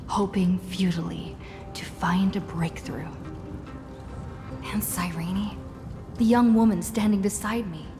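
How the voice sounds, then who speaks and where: A woman's voice: fairly low pitch, lightly acted. A woman playing an earnest, resolute young heroine in a video game, explaining solemnly and with care. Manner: measured, solemn, careful explaining. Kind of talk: dramatic video game voice acting. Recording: long reverberant hall. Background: clear music.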